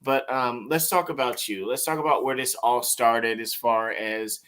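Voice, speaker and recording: A man's voice: mid-range voice, normal speed, fairly bright tone, clean tone. A man hosting an interview show, talking in a laid-back, rambling, self-deprecating way into a studio mic. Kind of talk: casual interview chat. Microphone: studio mic with mixer.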